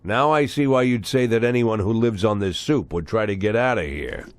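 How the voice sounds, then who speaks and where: A weary, sardonic older cop, voiced by a male actor for a video game. His voice is low and closely recorded with no room around it. He speaks tersely, in a dry deadpan. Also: moderate pace, no room sound, lightly acted. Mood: dry, guarded. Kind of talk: video game cutscene acting.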